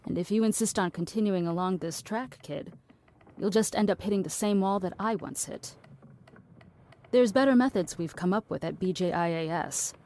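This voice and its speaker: A woman playing a cynical, blunt philosophiser in an audio drama, deadpan, wordy and dismissive. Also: mid-range voice, normal speed, close to an everyday voice. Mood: deadpan lecturing.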